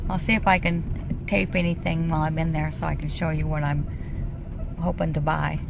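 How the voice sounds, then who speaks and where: A woman in her fifties with an American accent, chatting casually into a handheld phone camera, folksy and quick to laugh. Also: on the low side, creaky, background noise. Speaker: vlogger. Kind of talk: casual vlog chat. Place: inside a vehicle.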